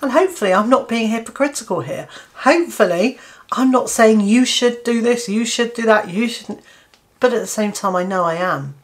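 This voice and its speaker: A woman's voice: a little high, normal speed. A British woman in her fifties, a gardening channel host, giving an earnest opinion piece to camera with hesitant restarts and fillers. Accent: British English. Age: 50s.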